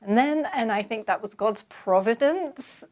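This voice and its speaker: An older woman from London with a London accent, calmly telling her life story as a guest in an interview. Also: on the high side. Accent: London English. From London, England. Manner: measured, reflective storytelling. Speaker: guest author. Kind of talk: conversational interview.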